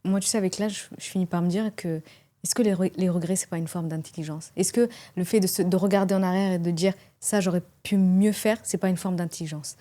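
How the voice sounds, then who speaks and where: Host, woman: quick, warm, low-pitched, curious questioner. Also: steady tone. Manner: engaged, asks probing questions. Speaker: interviewer. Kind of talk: conversational interview.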